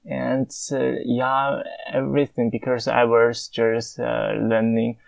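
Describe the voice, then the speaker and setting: Slow halting man, Mandarin Chinese accent. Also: medium pitch, monotone, vocal fry, no echo. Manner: halting, many filler pauses. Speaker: conlang creator answering listener questions. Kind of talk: casual Q&A monologue.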